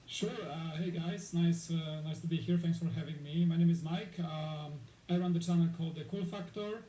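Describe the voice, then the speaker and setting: A man's voice: on the high side, moderate pace, warm tone. A man in his thirties from Poland, a guest in a casual interview, rambling with many fillers and restarts. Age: 30s. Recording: echoey room with faint noise.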